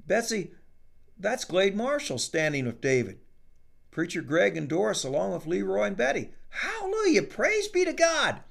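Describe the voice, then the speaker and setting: A man puts on an eager older farmer father, hearty and excitable, with his pitch lifted above his usual voice. The voice keeps a gravelly edge. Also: moderate pace, full character voice.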